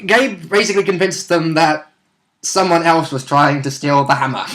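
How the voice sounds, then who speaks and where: A man co-hosting as a player, casually recapping a tabletop game in a giggly, animated storytelling manner. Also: a little high, measured pace, slight background noise. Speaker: player and co-host.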